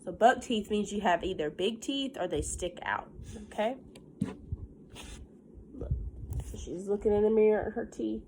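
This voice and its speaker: A woman's voice: mid-range voice, measured pace, long pauses, dark tone, slight background noise, low-quality recording. A woman teacher talking and reading aloud to young children, explaining things simply with little checking questions, sounding a bit congested from pollen. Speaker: elementary school teacher.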